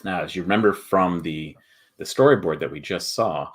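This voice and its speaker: Deep-voiced man, casual halting presenter. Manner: halting, explanatory, thinking aloud. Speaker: host, board game video maker.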